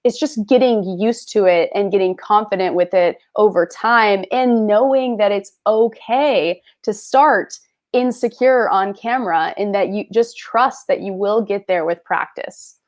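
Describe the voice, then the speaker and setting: A woman's voice: mid-range voice, a little slow, a little mellow. A woman talking as the guest, giving upbeat, encouraging advice straight to viewers in a casual chat. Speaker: guest creator. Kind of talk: casual advice on a video call.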